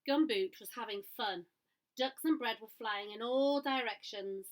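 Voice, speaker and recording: A woman reading a picture book aloud to children in an expressive way, pausing to ask her listeners questions. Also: fairly high pitch. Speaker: storyteller.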